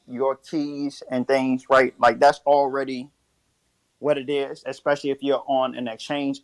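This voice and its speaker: A man's voice: mid-range voice, no echo, rough recording. A man casually explaining a finance topic, often checking in with "right?". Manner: explanatory, keeps asking "right?". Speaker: speaker.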